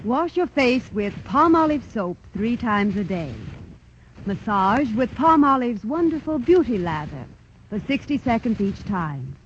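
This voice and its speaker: A woman's voice: a little high, big pitch swings, a little slow. A woman reading commercial instructions in a 1940s radio ad. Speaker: ad spokeswoman. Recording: lo-fi old radio broadcast with faint hiss.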